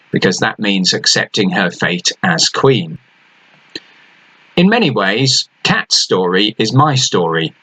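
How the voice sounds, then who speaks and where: Lively man with a husky voice. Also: fairly low pitch, low-quality recording. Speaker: author. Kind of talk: introducing his book and reading aloud.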